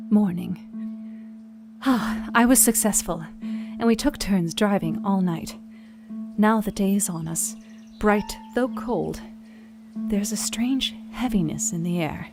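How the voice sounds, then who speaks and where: A woman plays a devout, troubled young Victorian wife in a radio play. She speaks her journal earnestly and reflectively, in formal words at a middling pitch. Music is clearly heard behind her. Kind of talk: dramatic audio journal reading.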